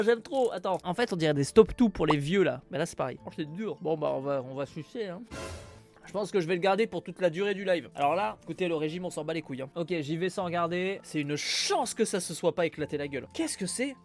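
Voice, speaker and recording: A man streaming live, chatting casually as he unboxes a package, with loud exclamations and casual swearing. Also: high voice. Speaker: streamer opening a viewer's package.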